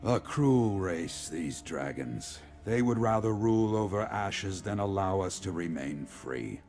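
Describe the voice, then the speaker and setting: A man playing a remorseful, resolute middle-aged general in a video game, with a gravelly voice and formal, measured military speech. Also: sits low, lively intonation, darkish tone, faint music behind. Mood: grim, determined. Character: remorseful, resolute veteran male general, father of a grown daughter. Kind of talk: scripted video game character dialogue.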